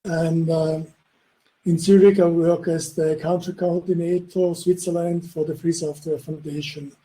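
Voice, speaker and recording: Dark-voiced man. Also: on the high side, little pitch movement, low-quality recording. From Italy. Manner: halting, many uh fillers. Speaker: panel guest. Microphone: video call.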